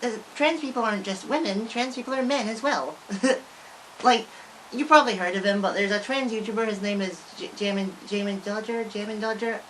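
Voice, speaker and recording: A young woman chatting straight to her viewers in a loose, rambling way, full of fillers and little laughs. Her voice sits at an ordinary middle pitch for a woman. A faint hiss runs under the recording. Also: brisk, rough recording. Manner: rambling, many likes and restarts, giggles often. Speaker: vlogger. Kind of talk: casual talk to camera.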